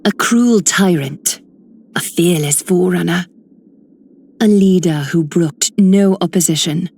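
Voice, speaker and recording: A woman's voice: lowish voice, unhurried, darkish tone, breathy edge. A woman voicing a regal, cool, commanding female leader in a video game with stately, formal delivery. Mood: solemn, stately. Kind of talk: scripted game character lines.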